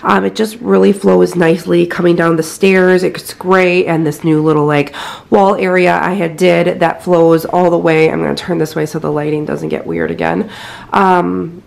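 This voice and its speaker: A woman's voice: fairly low pitch, little pitch movement, normal speed, creaky, a little mellow. A woman in her thirties with a scratchy voice, chatting casually through a home decor tour indoors with a handheld phone, full of fillers. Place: inside a house. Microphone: handheld phone camera.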